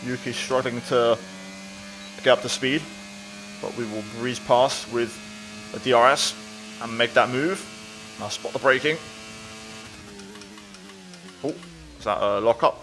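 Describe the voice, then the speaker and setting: A man's voice: mid-range voice, moderate pace, pauses often, background noise. Man commentating casually over his video game. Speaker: gaming video creator.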